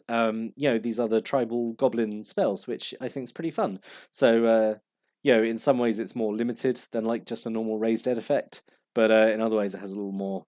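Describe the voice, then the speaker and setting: A man's voice: lowish voice, darkish tone, no echo, clean studio sound. A man with a cold, sniffling as he rambles casually through a card game review with many fillers. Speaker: card game video host.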